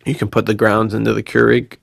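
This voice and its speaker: Guy podcast cohost, lowish gravelly voice, super casual slangy storytelling, dry sound. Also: a little fast, no echo. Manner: slangy, animated storytelling with quoted dialogue and frequent laughter. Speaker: podcast co-host. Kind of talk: casual chat, telling personal anecdotes.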